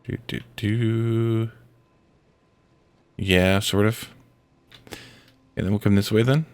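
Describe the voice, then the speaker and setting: A man's voice: lowish voice, steady tone, slow, a little mellow, clear, resonant tone, dry recording. Congested man muttering through a game stream. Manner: thinking aloud, muttering to himself while building. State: congested from a head cold. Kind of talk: casual let's-play commentary.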